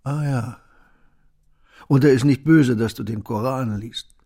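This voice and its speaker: An actor playing a wise, gentle old shopkeeper in a radio play, speaking in calm, unhurried aphorisms, with a gravelly voice. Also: male voice, medium pitch. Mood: kindly inquiring. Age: older.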